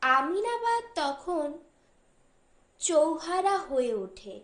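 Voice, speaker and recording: A woman reads a short story as an audiobook narrator, in a measured, deliberate way with pauses between phrases. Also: very high-pitched, animated, a bit bright, roomy sound.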